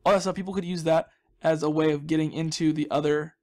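A man with a fairly high voice talks through his gameplay as he goes. His commentary is casual and rambling, full of chatty asides and small self-deprecating jokes. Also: fairly even intonation, fast, a little mellow. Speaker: gaming video host.

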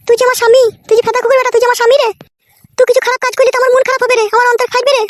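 A woman playing a jealous, quarrelsome village wife in a comic cartoon, shrill and foul-mouthed, with a pitched-up voice. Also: level tone, very fast, dry recording, clean studio sound. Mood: aggrieved. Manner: shrill, scolding, repetitive insults.

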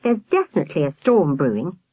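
Woman as a concerned, slightly husky scout leader. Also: sits low, sing-song, a little fast, mellow, no echo, exaggerated voice, poor audio. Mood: apologetic, concerned. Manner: breathless, matter-of-fact. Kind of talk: audiobook character voice.

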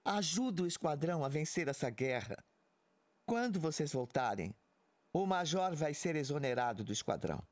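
A woman's voice: low voice, very animated, moderate pace, a little mellow. A woman playing a commanding, persuasive military commander in an audio drama, authoritative and insistent. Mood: firm, persuasive.

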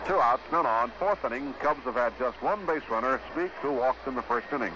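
A man calling baseball play-by-play in 1950s radio style, in measured, clipped phrases with pauses. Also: mid-range voice, expressive. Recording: noisy, dull vintage 1950s radio broadcast.

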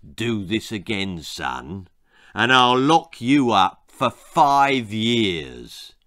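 A man voicing a stern, intimidating policeman with a gruff voice in a children's story. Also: lowish voice, very animated, extremely slow, fairly bright tone, pitched below the performer's own voice, exaggerated voice. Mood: threatening.

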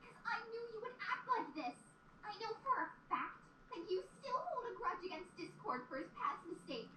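A woman voicing an indignant young female pony, a confrontational and defensive little sister, in an animated fan audio drama. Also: extremely high pitch, normal speed, heavily acted. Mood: defensive, angry. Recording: echoey, faint background noise.

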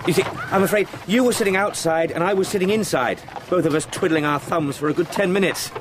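An actor playing an eager, courteous young London solicitor in a radio drama, chatty and well-spoken with an English accent. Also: male voice, high voice, fairly quick, background noise, lightly acted. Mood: flustered, friendly.

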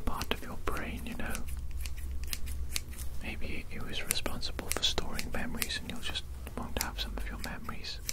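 A man whispering an ASMR roleplay as a fussy, callous mad doctor, repeating phrases with deadpan menace, close to the microphone. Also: normal speed, rough recording. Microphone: close-up microphone, facing camera.

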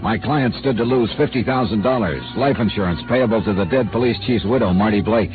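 An actor playing a hard-boiled, wry insurance investigator, narrating in a clipped, dry 1950s radio drama style. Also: male voice, lowish voice, brisk, natural voice. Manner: clipped, dry, matter-of-fact. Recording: tinny old radio transcription with music.

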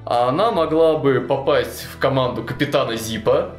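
A man's voice: medium pitch, moderate pace. Young man telling a story, roomy room. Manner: animated, many fillers like "вот" and "ну". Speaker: storyteller guest. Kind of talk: casual storytelling chat. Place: studio with chalkboard wall. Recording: roomy studio with faint music.

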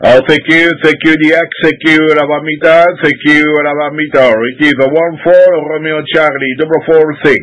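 A man's voice: a little high, flat delivery, moderate pace, few pauses, fairly bright tone, rough recording. A man from France working a citizens band radio, reading out call signs with many hesitant fillers. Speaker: CB radio operator. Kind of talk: radio calling procedure.

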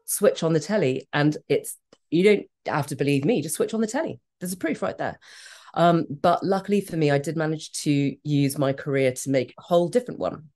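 A woman with a British accent speaks in a remarkably deep, low register for a woman. She is middle-aged and talks frankly and with emphasis, laughing easily as a podcast guest. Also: brisk, darkish tone. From Australia. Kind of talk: candid conversational interview.

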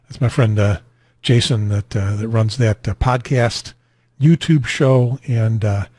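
An American man in his sixties hosting a radio net in casual chat, rambling and halting, on a close mic in a small home room. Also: on the low side, warm tone, no room sound. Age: 60s. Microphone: close boom studio mic, headset on.

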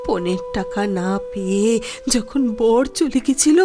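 Woman acting a timid, high-voiced young Bengali widow. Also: animated, slow pace, music in the background. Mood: sorrowful, tearful. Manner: hesitant, stammering, pleading, deferential. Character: timid, dutiful young widowed daughter-in-law. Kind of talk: Bengali audio drama acting.

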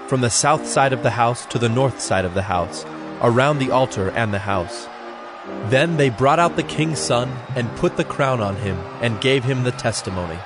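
Solemn male Bible narrator, medium pitch. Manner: measured, solemn reading. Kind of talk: scripted dramatized Bible narration.

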